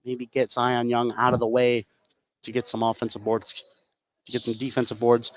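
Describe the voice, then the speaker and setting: A man's voice: medium pitch, completely flat delivery, normal speed. A man giving conversational color commentary and analysis during a live basketball broadcast. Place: indoor high school basketball game.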